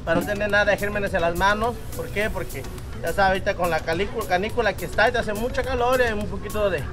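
Casting: man, thirties, Guerrero coast Mexican accent, high voice, restaurant cook showing his craft casually, recorded at a distance over music. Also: quick pace. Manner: repetitive, punctuated with "eh?" tags and rhetorical questions. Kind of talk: casual cooking demonstration and promotion. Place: open-air beach restaurant and its kitchen. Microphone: camera a few steps away. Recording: roomy, distant camera mic, music underneath.

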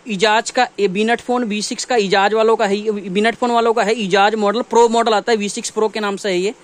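High-pitched North Indian man presenting products. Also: fairly even intonation, brisk. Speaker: shop dealer presenting products. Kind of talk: informal product showcase to camera. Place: small cluttered gear shop, indoors. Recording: faint shop background noise.

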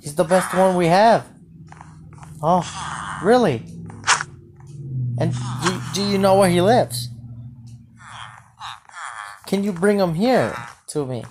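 A woman playing a bossy, easily startled king in an improvised game roleplay, haltingly repeating phrases. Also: fairly low pitch, expressive. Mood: eager, demanding. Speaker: video creator playing a roleplay. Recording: noisy home mic with clicks.

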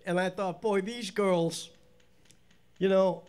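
A man's voice: high-pitched, steady tone, takes it slowly, a crowd in the background. An older man with a cold and a stuffed-up nose, hosting a live country music show in casual, rambling, folksy chat at a stand mic on a small stage. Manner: rambling, chatty, folksy with restarts. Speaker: bandleader and show host. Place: small indoor stage studio.

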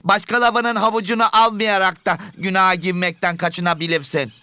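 A man's voice: high-pitched, steady tone, brisk, sharp tone, smooth, no room sound. A man voicing a patient rabbit pupil in an educational cartoon, earnest and reasoning. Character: patient rabbit pupil who loves carrots.

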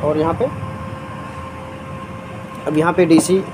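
A man with a somewhat high-pitched voice talks through a repair one step at a time, casual and practical. He sounds like he is working at a bench while he explains. The recording carries a clear hum of fans and machines from the workshop. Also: little pitch movement, slow pace. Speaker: electronics repair technician. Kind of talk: casual repair tutorial narration.

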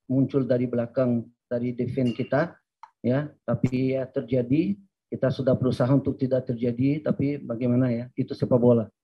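A man's voice: mid-range voice, husky. A man interpreting a coach's answers at a press conference, speaking plainly with casual fillers at a table mic. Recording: dull lo-fi stream audio.

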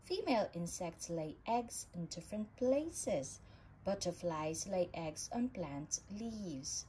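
A woman reading a children's science book aloud in an animated storybook manner, with emphasis for young listeners. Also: on the low side, takes it slowly. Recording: clearly noisy home recording.

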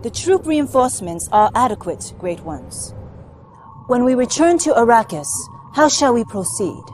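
A woman's voice: a little high, very animated, moderate pace, darkish tone, slight background noise. An actress playing a dutiful, controlled female military mentor in a science fiction game, giving clipped, formal briefings. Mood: deferential. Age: young adult. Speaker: actor in game cutscenes. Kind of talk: formal scripted mission briefing. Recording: long hall reverb, music underneath. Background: background music.

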